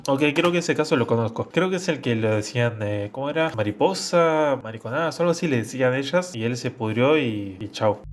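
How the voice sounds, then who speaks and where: Fast-talking Argentine young man. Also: medium pitch, animated, slight background noise. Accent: Argentine Spanish. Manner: rambling, chatty, slangy asides. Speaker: reaction video host. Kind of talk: casual reaction commentary.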